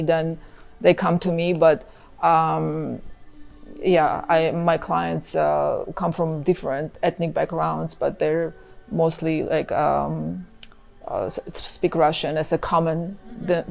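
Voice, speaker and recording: Gravelly Armenian-accented woman lawyer. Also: low voice. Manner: many fillers, chatty and warm about family. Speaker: immigration lawyer, guest. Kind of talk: casual interview chat.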